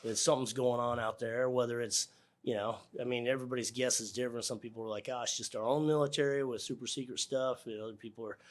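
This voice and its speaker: A man's voice: mid-range voice, steady tone, quick pace. A middle-aged American man giving casual, informal interview answers, chuckling and acting out bits of dialogue as he explains. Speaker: air traffic controller, interview guest.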